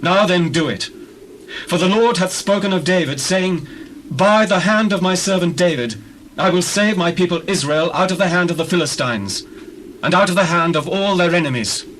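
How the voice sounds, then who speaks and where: Man acting a proud high-voiced ancient army commander. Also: moderate pace, a bit bright, a little hiss or hum, rough recording. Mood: bold, persuasive. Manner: declamatory, archaic scriptural speech. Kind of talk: dramatized scripture reading.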